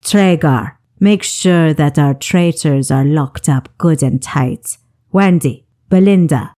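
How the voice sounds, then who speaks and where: A woman voicing a calm, shrewd male ship captain who gives measured, authoritative orders in an audiobook. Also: low-pitched. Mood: commanding. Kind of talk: audiobook character voice.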